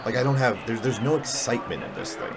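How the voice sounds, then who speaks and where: A man's voice: mid-range voice, wildly swooping pitch, fast, vocal fry, noisy background. A man giving casual, mumbly, self-deprecating commentary while playing a video game. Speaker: gaming channel host. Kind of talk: casual let's-play commentary.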